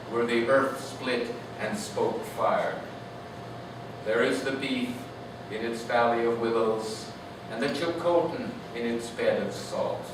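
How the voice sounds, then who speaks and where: A man speaks at a live poetry reading with a deliberate, unhurried delivery. His voice sits at a medium pitch. It reaches the microphone from a distance, through heavy room echo and clear background noise. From Canada. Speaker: poet reading from own work.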